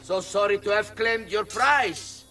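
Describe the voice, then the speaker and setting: An actor playing a coldly mocking mastermind villain in a game cutscene, with a condescending manner. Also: male voice, high voice, sharp tone, far from the mic, faint music behind, heavily acted. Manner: slow, condescending. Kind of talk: dramatic game cutscene acting.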